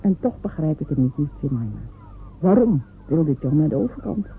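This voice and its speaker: A woman's voice: low-pitched, very animated, moderate pace. A woman playing a doubting, prying village neighbour, speaking in a probing and skeptical way in a radio play. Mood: doubtful. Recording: narrow phone-like radio recording with faint hiss.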